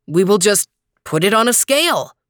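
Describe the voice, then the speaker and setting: A woman's voice: medium pitch, very animated, normal speed, fairly bright tone, no room sound, clean studio sound. A woman playing a boastful court mandarin. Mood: confident. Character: boastful male court mandarin, former merchant.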